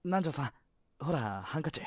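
An actor playing a goofy, excitable high school upperclassman in a youth comedy voice drama. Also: normal speed, dry recording. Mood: jokey.

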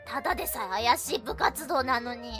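A woman playing a prim high school girl, polite but blunt and scolding, in a youth comedy audio drama. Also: fairly quick, fairly bright tone, close to an everyday voice. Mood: skeptical. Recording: light music behind.

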